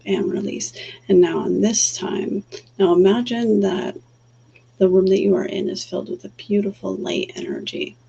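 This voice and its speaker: Soft-spoken, halting woman streamer. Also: medium pitch, creaky, breathy edge. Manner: soft, calm, halting with many fillers. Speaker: livestream host and energy healer. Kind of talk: casual livestream chat, then guided breathing. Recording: dull lo-fi stream audio with faint hum.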